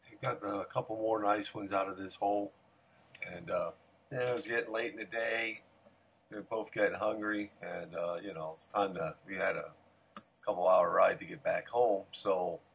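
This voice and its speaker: A middle-aged man giving casual, excited commentary while fishing outdoors by a creek, recorded on a head-mounted action camera. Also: on the low side, husky. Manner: excited exclamations, folksy talk. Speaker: fishing vlogger. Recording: outdoor action camera with loud water noise. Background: rushing water noise.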